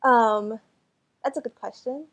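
A woman's voice: a little high, slow pace, a bit bright. A young woman artist whose first language was Cantonese answers interview questions hesitantly, with many fillers and restarts. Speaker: artist and photographer.

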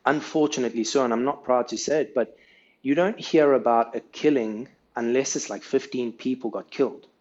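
A man's voice: medium pitch, a little fast, darkish tone, muffled recording. A South African man, a podcast guest, telling his life story in a casual, earnest way and often ending sentences with "right?".

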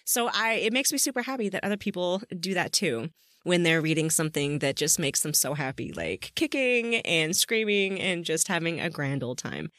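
A woman's voice: on the low side, sing-song, normal speed, fairly bright tone, no echo. Female podcast host, gushing and giggly, informal interview chat, close dry sound. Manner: effusive, giggly, enthusiastic. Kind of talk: casual chatty interview.

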